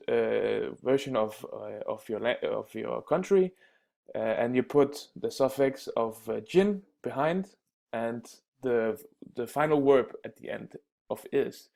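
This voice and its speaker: A man teaching everyday phrases in a casual spoken lesson, pausing often with fillers and restarts. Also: medium pitch, animated, gravelly, darkish tone. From Denmark. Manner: halting, many fillers and restarts. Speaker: language teacher.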